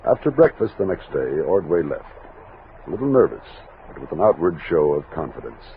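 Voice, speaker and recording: An American actor playing a steady, commanding army captain of a frontier expedition in an old-time radio drama. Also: male voice, a bass voice, fairly quick, narrow, tinny audio, full character voice. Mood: reflective narrating. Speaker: lead actor and narrator. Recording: thin, noisy vintage radio recording.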